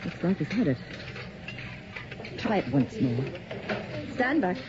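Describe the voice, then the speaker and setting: A brisk, curt doctor. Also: female voice, on the low side, sing-song. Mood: commanding. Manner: curt, commanding, matter-of-fact. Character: brisk, unsentimental woman hospital doctor. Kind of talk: 1980s radio drama acting. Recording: muffled old radio recording with loud hiss.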